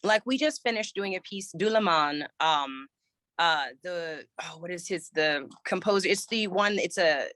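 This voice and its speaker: A woman's voice: on the low side, expressive, measured pace, fairly bright tone, no room sound. A woman choir director and voice teacher, a little scratchy from a recent cold, answering interview questions thoughtfully with many restarts.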